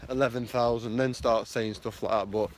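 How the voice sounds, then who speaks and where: A man's voice: medium pitch, fairly even intonation, normal speed. A young man rambles into his phone as he walks outdoors, circling back on his points and padding them with fillers. The phone is held at arm's length, and faint street noise sits underneath. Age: young adult. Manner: rambling, repeats himself with lots of fillers. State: blocked nose, sounds stuffy. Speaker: vlogger. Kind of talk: casual selfie vlog. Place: outdoors on a street walking to work.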